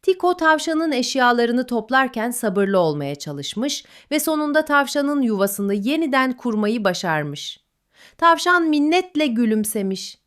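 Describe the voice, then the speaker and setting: A woman narrating a children's bedtime story in a gentle, melodic manner. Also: a little high, big pitch swings, a little slow.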